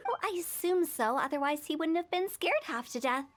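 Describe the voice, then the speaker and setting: A woman's voice: high voice, a little fast, lightly acted. A woman voicing a young, mischievous and self-assured funeral director girl in a game. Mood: knowing. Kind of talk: game voice acting.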